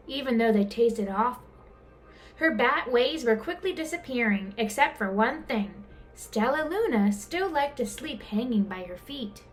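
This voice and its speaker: High, animated woman storyteller. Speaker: storyteller reading aloud to children. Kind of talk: expressive children's storybook narration. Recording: clear background noise.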